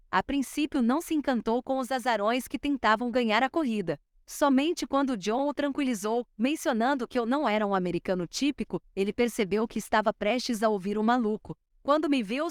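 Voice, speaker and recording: A woman with a Brazilian Portuguese accent reads an audiobook in a steady, measured way. Her voice is smooth and clear, pitched a little high. The recording is dry and close, with almost no room sound. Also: no room sound. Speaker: audiobook narrator. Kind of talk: scripted audiobook reading.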